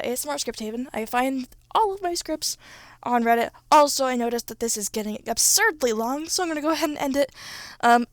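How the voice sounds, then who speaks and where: Higher-voiced young woman, dry and casual. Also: dry recording. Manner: informal, halting and self-correcting, with mild swearing. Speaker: ASMR roleplay creator and channel host. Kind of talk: casual off-script chat to listeners.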